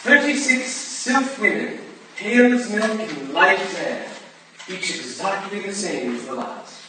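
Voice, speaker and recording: A man's voice: high-pitched, expressive, measured pace. A man playing a suave Victorian lord, echoey hall. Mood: smooth, boastful. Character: suave, flirtatious lord and boastful ballet patron. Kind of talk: theatrical staged reading of period drama. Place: staged reading in a large echoey room. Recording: echoey hall from far off, faint noise.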